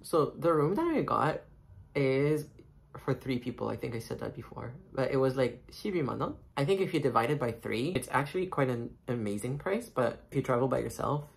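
A man's voice: medium pitch, creaky. A young man whose first language is French, chatting casually and self-mockingly to a phone camera held at arm's length while vlogging a trip. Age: young adult. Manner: chatty, rambling, self-mocking, many fillers. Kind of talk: casual travel vlog chat. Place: hotel room and bathroom, then night street market.

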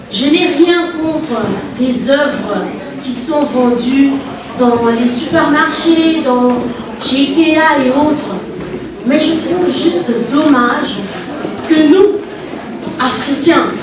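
A woman from Congo speaks in a high voice, earnest and halting, to a small audience in an ornate lounge. She holds a mic, but the camera sits far back in a very echoey room. Steady background noise fills the recording. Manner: earnest, halting, many restarts and fillers. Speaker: event organizer presenting her art business. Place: ornate lounge with red walls, indoors. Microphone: handheld mic, filmed from far back.

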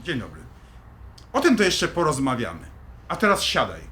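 A man's voice: medium pitch, huge pitch swings, moderate pace, sharp tone, slight background noise. An actor playing a stern, middle-aged father in an audio drama, blunt, commanding and dismissive. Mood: gruff, displeased.

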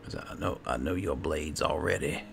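A man doing roleplay gameplay commentary, musing to himself in a halting way. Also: rumbling low, gravelly. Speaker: gaming host, player. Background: game music.

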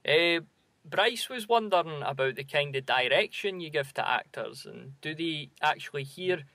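A man's voice: a little high, expressive, moderate pace, vocal fry, sharp tone, dry recording. A man hosting a podcast interview, chatting casually and putting questions to his guest. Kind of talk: casual chat.

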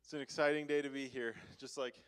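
A man's voice: mid-range voice, steady tone, fast talker, clear, resonant tone. A young man on a church stage giving chatty announcements full of "like" and small laughs, then a short devotional. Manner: chatty, laughing, many "like" fillers. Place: church stage, large room.

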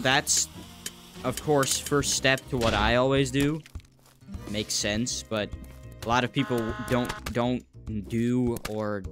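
Young male streamer, unhurried delivery, chatty and easygoing with viewers, light game music underneath. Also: medium pitch. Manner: relaxed, chatty, answers viewers while playing. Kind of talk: casual live gameplay chat. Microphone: desk mic, wearing a VR headset.

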